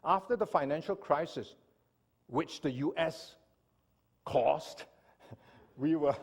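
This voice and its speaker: A man from Singapore delivers an animated church seminar talk, often turning to his listeners with "right?". His voice sits a little high and is husky, and it swings up and down freely. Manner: animated lecturing, asks audience "right?". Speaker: speaker.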